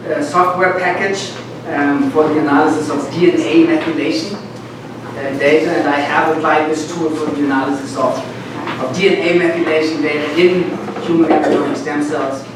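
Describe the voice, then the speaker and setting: A middle-aged man with a German accent gives an informal academic talk to students, halting and often repeating words. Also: on the high side, steady tone. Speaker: guest lecturer, medical school professor. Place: lecture classroom, indoors. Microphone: far camera at back of room. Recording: distant, very echoey, noisy room recording.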